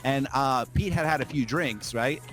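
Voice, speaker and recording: A man's voice: medium pitch, normal speed, a bit bright, soft background music. A man chatting casually on a podcast, slipping into an opinionated rant, emphatic and repetitive, with plenty of swearing.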